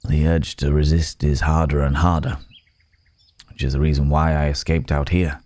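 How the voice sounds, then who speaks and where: A man's voice: rumbling low, little pitch movement, moderate pace, mellow, no room sound. A man playing a weary, courteous cursed werewolf in an ASMR roleplay, speaking in formal, old-fashioned phrases with pensive hesitation. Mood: resigned, sombre.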